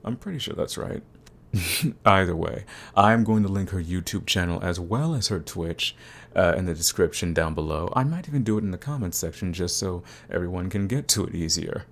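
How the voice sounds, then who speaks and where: A man's voice: sits low, animated, moderate pace, vocal fry. A man hosting his audio channel, giving a casual, rambling update to his listeners. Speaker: audio roleplay creator, channel host.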